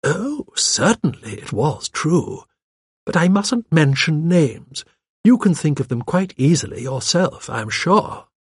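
A man voicing a gentle, shrewd elderly spinster, prim and musing, in an audiobook. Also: fairly high pitch, big pitch swings, slow pace, slightly rough, dry recording, clean studio sound, voice pushed up, heavily acted. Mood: coyly discreet. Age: older. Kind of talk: audiobook character dialogue.

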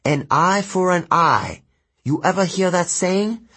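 Man voicing a bitter, raised-pitch teenage boy. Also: lively intonation, slow pace, fairly bright tone, breathy edge, exaggerated voice. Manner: resentful, emphatic. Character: bitter, defiant teenage boy turning to revenge. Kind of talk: audiobook character voice.

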